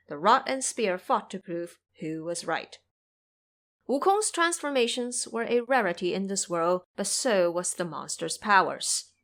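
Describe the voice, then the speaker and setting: Measured woman storybook narrator. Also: medium pitch, fairly bright tone.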